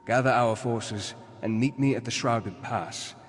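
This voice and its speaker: An actor playing a solemn, regretful leader in a video game, speaking formally and measuredly. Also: male voice, mid-range voice, unhurried, a little hiss or hum, close to an everyday voice. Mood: solemn, resolute. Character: grave, regretful male mentor and leader guiding a campaign. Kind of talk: scripted video game dialogue. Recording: long hall-like reverb on the voice.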